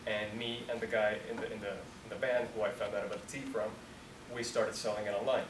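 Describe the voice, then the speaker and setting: A man in his twenties from Colombia, a company founder talking casually to students in a relaxed, rambling way, full of "like". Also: on the low side, brisk. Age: 20s. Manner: relaxed, rambling, heavy use of "like". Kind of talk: casual talk to an audience. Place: university room. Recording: echoey room, distant mic, noisy.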